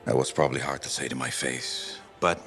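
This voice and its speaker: Gruff proud fighter, blunt, swearing, deep, sharp-edged, lively pitch. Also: male voice, fairly quick, soft background music. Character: gruff proud male freelancer, blunt and sarcastic. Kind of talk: video game dialogue.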